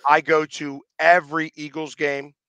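A man's voice: a little high, little pitch movement, normal speed, fairly bright tone, clear, resonant tone, no echo. A middle-aged American man chatting casually as an interview guest, speaking into a headset. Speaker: guest, sports superfan. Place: room at home, on a video call.

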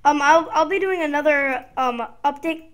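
A child or young teen hosting a casual gaming video with live gameplay commentary, sometimes slightly raspy. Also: sits high, normal speed, a bit bright. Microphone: gaming headset.

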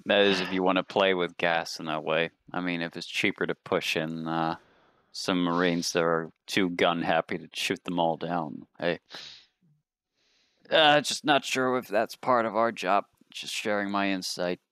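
A man with a deep voice plays in a tabletop roleplaying game. He talks casually and matter-of-factly, in laconic remarks. The sound is close and dry. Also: fairly even intonation, a little slow, a bit bright, clean tone, dry recording. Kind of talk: casual in-character table talk.

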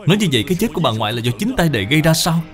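A man's voice: fairly high pitch, big pitch swings, very fast, pitched above the performer's own voice. A man voicing a troubled, remorseful young man in a cartoon, anxious, questioning and pleading. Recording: music behind the voice.

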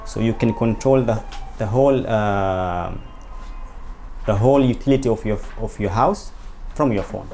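A man in his thirties from Zanzibar, answering interview questions and explaining in a halting, pausing way. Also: fairly low pitch, mellow. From Zanzibar, Tanzania. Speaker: interview guest, company owner. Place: small indoor office, seated at a desk. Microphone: lapel. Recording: clear background noise, room ambience.

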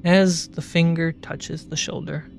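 A man's voice: on the high side, little pitch movement, a little slow, gravelly, soft background music. A man narrating a tabletop fantasy game as game master, describing scenes and prompting the players.

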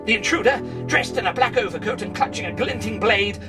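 An actor playing a loyal, earnest Victorian gentleman who narrates his adventures in a literary, dramatic audio drama style. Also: high voice, brisk, natural voice. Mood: urgent, excited. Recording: distant mic, music underneath.

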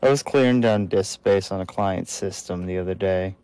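Low-voiced man chatting while gaming. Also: moderate pace, smooth, dry recording. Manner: explains things in a chatty, relaxed way. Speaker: co-player. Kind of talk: casual gaming chat.

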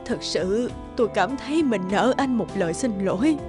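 An actress playing an emotional, grateful middle-aged mother in a cartoon dub, speaking in an earnest, expressive way. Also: medium pitch, normal speed, lightly acted. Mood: moved, grateful. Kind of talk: animated film dubbing. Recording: loud background music. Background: music.

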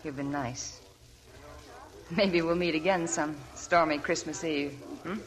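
An actress playing a weary, heartsick young wife in a 1940s radio drama. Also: female voice, low-pitched, fairly even intonation, a little slow, poor audio. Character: weary, heartsick young wife telling her sad past. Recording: old 1940s radio broadcast, audience murmur.

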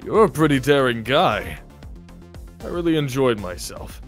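A man's voice: medium pitch, big pitch swings, measured pace, faint music behind. A man voicing a big, easygoing death row inmate in a video game, hearty and unbothered. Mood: hearty, relaxed.

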